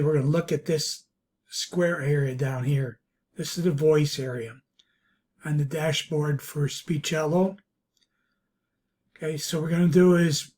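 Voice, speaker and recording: Halting male software reviewer, mellow tone. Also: fairly high pitch. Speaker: product reviewer. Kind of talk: screen-recorded software walkthrough. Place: voice-over recorded over a screen capture.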